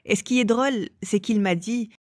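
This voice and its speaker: A woman's voice: a little high, normal speed, dry recording, clean studio sound. A woman from England telling her own life story as an interview guest. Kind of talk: personal testimony in an interview.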